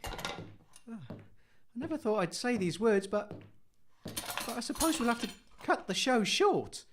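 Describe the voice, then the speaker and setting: An actor playing a timid, fussy, kind-hearted radio host in an audio comedy, hesitant and stammering. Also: male voice, extremely high pitch, big pitch swings, measured pace, noisy background, exaggerated voice. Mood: dejected.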